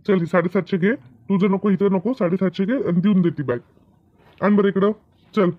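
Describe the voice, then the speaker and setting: A man's voice: sits high, fairly quick, no room sound. A man voicing a plain-spoken, impatient village father in a comedy cartoon, blunt but placating, on a lo-fi recording. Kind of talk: Marathi comedy cartoon dub.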